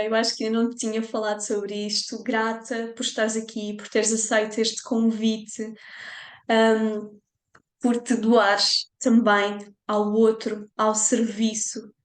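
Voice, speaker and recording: A woman's voice: medium pitch, echoey room. A woman podcast host with a European Portuguese accent, speaking heartfelt and effusive in a casual interview.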